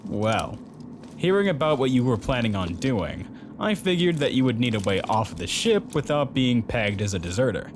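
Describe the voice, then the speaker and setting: A man's voice: big pitch swings, normal speed, lightly acted. A man playing an eager young military hacker in a sci-fi audio drama, casual and wisecracking. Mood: cheeky. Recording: loud vehicle rumble behind.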